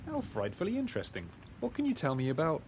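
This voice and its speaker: A man playing a polite, slightly hesitant gentleman private investigator pig in a video game, speaking formally. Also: on the high side, wildly swooping pitch, quick pace, a little hiss or hum. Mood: courteous, curious. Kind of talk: scripted game character dialogue.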